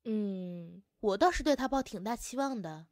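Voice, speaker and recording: A woman's voice: medium pitch, expressive, normal speed, darkish tone, no echo, lightly acted. Woman acting approving schoolteacher, dry sound. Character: proud, approving high-school teacher. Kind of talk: amateur campus audio drama acting.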